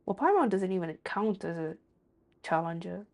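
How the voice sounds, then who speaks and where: A woman's voice: on the low side, expressive, moderate pace, creaky, darkish tone. A woman streaming a video game, giving casual commentary as she plays.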